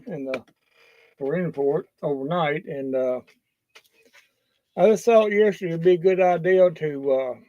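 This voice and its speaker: A man talking casually and haltingly as a livestream host, in a folksy, unscripted way. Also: fairly high pitch, warm tone. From Kentucky, US. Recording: dull lo-fi sound, roomy echo.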